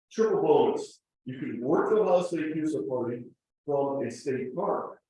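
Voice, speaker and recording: A man's voice: medium pitch, mellow, a little hiss or hum. A man giving a slide presentation at a club meeting, explaining step by step with frequent fillers. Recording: distant, roomy meeting-room recording.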